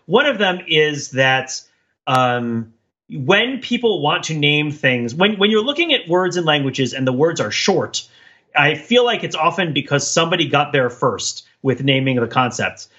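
A man with a bright, forceful voice and a husky edge chats as a podcast co-host. He explains ideas at length in a roaming, professorial manner. The recording sounds a little dull and lo-fi. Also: on the high side, sing-song, measured pace. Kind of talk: casual analytical podcast chat.

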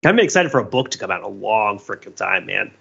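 A man's voice: fairly low pitch, sing-song, fast talker, creaky, pushed and bright, husky, highs cut off. A man co-hosting a podcast, talking casually about pop culture in an enthusiastic, geeky way. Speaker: podcast co-host.

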